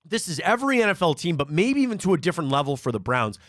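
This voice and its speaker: A man from Cleveland hosting a live sports talk show, telling stories in an animated way and often repeating himself. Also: on the high side, racing, no echo, studio quality. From Cleveland, Ohio.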